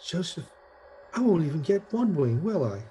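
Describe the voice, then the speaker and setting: An actor playing an earnest, apologetic, bumbling elderly guardian angel in an old-time radio play. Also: male voice, a little high, big pitch swings, normal speed, warm tone, a little hiss or hum. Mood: flustered, earnest.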